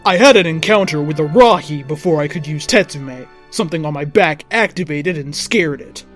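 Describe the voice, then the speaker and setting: Theatrical man with higher-pitched voice reading stories. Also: normal speed, soft background music. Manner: theatrical, expressive. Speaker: story reader. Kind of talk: dramatic narration of fan fiction.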